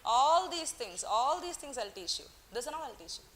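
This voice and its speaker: Woman, bright tense voice, playful teacher, classroom lecture. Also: high-pitched, big pitch swings, normal speed. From Kerala, India. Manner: playful, teasing, coaxing students, mixes in Hindi words. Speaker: economics teacher.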